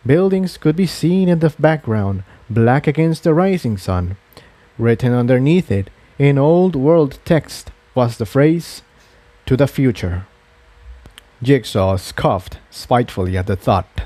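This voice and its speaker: A man reading a fantasy adventure story aloud as scripted audiobook narration, in a measured, deliberate storytelling manner. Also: medium pitch, expressive, mellow, no echo.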